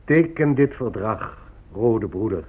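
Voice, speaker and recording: An actor playing a condescending, bigoted American government negotiator in a radio drama, with a pompous, patronising manner. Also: male voice, fairly low pitch, expressive, slow pace, slightly rough, phone-quality sound, heavily acted.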